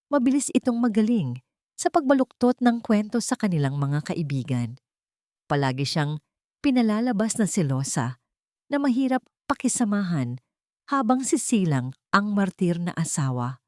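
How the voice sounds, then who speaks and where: A woman with a Filipino accent narrating a scripted dramatic story in measured phrases with pauses. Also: mid-range voice, big pitch swings, warm tone, no echo, clean studio sound.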